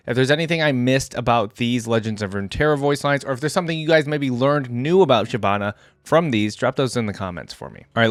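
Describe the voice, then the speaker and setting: A man's voice: medium pitch, no room sound, studio quality. A man hosting a reaction video, giving casual, conversational commentary and thinking aloud. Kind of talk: casual commentary on game voice lines.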